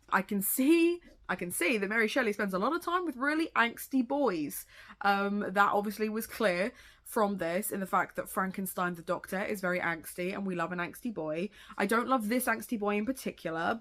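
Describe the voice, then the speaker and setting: Chatty woman with a gravelly cold. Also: mid-range voice, fairly bright tone. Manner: chatty, rambling, swears casually. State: has a cold, sounds gravelly. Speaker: booktuber. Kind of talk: casual reading vlog chat.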